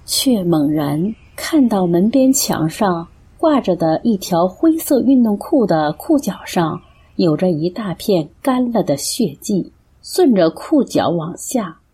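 A woman's voice: on the low side, mellow, slightly rough. A woman narrating a scripted drama in a storytelling style.

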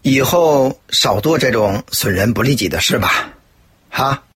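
A man acting in a radio play as a sincere bystander with a husky voice. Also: medium pitch, expressive, measured pace, a bit bright, heavily acted. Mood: admonishing. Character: sincere man vouching for a miracle cure. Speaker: radio drama actor.

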